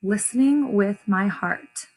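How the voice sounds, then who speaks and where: A woman reading a picture book aloud like a teacher, deliberate and expressive, pausing to explain and repeat phrases for young listeners. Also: medium pitch. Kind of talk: children's read-aloud with short explanations.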